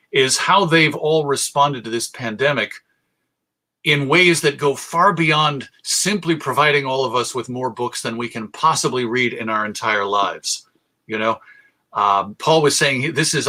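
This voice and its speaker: An American man giving an informal online talk as a presenter, halting, courteous and drily humorous. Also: medium pitch, bright, forceful tone. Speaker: presenter of a radio history talk. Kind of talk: informal lecture to an online audience.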